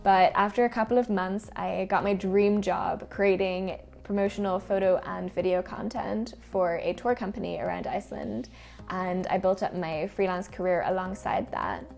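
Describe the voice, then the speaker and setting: Irish woman with a creaky edge. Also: lowish voice, normal speed, a little mellow, soft background music. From Ireland. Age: around 30. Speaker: freelance photographer presenting a vlog. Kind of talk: personal vlog narration.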